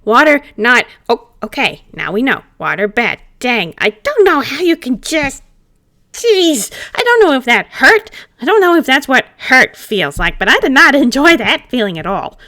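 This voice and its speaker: A woman's voice: sits high, sing-song, moderate pace, bright, forceful tone, voice pushed up, full character voice. A woman voicing a small, grumpy, mischievous male pumpkin spirit who grumbles and pouts in broken phrases in an amateur roleplay reading. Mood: indignant. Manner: pouty grumbling, repeats himself in broken phrases.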